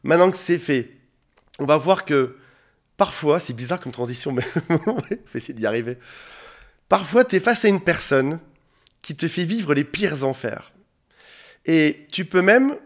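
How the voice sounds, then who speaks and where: Man with a head cold, slightly hoarse. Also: a little high. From France. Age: 40s. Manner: earnest, direct, addresses viewer informally. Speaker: relationship coach. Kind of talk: coaching video monologue. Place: indoor studio under bright lights. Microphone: facing a camera.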